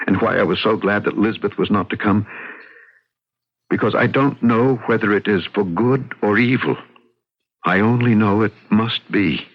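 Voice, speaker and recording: A man's voice: lowish voice, normal speed. An actor playing a troubled, melancholy man in an old radio drama, telling his story in brooding, literary phrases. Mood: anguished, uneasy. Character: troubled, melancholy man recovering from nervous breakdown. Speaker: actor narrating and playing the lead. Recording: muffled old radio broadcast with music.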